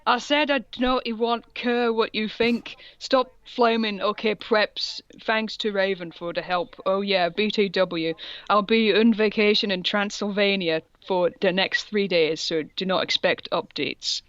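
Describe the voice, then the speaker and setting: A woman's voice: medium pitch, steady tone, measured pace, a bit bright, clean tone, dry recording, dull audio. A woman co-hosting a comedy podcast, reading fan fiction aloud and breaking off for sarcastic, jokey comments.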